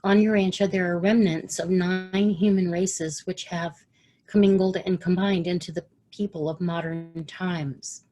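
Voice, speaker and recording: A woman's voice: lowish voice, fairly even intonation, unhurried, warm tone, soft background music. A woman presenting an online study webinar, reading passages steadily and moderating the discussion. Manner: reads passages steadily, then moderates the call.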